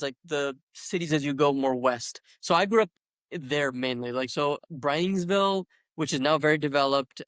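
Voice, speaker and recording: A man's voice: medium pitch, clear, resonant tone, no room sound. A man from Pennsylvania hosting a podcast, a dentist himself, chatting eagerly and jumping in with his own story. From Allentown area, Pennsylvania, USA. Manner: eager, animated, quick to jump in. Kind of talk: casual conversation.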